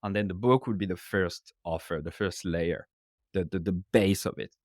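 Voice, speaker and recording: A man's voice: low voice, steady tone, normal speed, darkish tone, dry recording, clean studio sound. A man from France with a French accent, English not his first language, explaining ideas casually as a podcast guest and often checking in with "right?". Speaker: author and guest. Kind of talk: casual interview talk.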